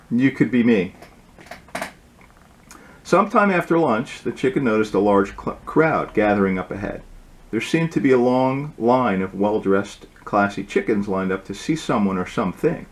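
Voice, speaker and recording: Male author for storybook read-aloud; medium pitch, informal delivery with the odd halting aside. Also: lively intonation. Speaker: author reading own book aloud.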